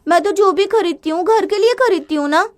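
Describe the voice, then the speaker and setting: A woman's voice: extremely high pitch, fairly quick, voice pushed up. A woman voicing a headstrong young Indian housewife in a family story, exclamatory, complaining and defensive. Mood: defensive, indignant. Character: headstrong gadget-obsessed young Indian housewife, easily fed up. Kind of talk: dramatized Hindi family story.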